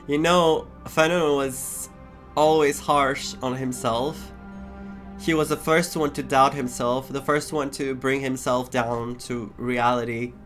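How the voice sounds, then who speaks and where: A man's voice: mid-range voice, steady tone, slow pace, a bit bright. A man doing playthrough commentary as a game streamer, reacting and reading along. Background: game music.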